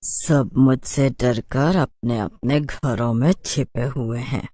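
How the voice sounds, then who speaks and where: A spoiled, stylish city witch from a cartoon horror tale, played by a woman. She sneers and taunts in a low female voice, unhurried and condescending. The sound is dry and close. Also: steady tone, warm tone, no room sound, heavily acted. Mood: haughty, boastful. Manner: taunting, dismissive. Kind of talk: animated horror story dialogue.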